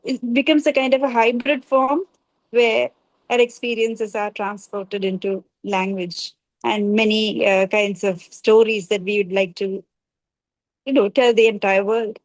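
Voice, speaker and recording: A woman's voice: medium pitch, fairly even intonation, a little slow, dry recording, rough recording. A woman from Kerala, speaking hesitantly on a book launch panel with many fillers and restarts. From Kerala, India. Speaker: editor and poet at a book launch. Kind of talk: panel discussion.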